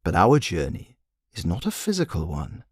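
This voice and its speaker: A man voicing a childlike, serene mystical guide in an audiobook story, speaking slowly and ceremoniously in riddles. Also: low-pitched, wildly swooping pitch, husky, dry recording, clean studio sound, heavily acted.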